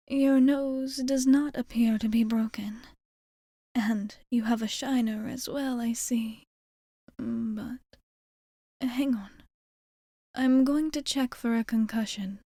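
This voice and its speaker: A woman playing a caring, composed vampire bartender in an ASMR roleplay, speaking softly and formally, partly in whispers, close to the mic. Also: fairly high pitch, dry recording. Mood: restrained, caring. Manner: gentle, formal, measured phrasing. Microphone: close studio microphone.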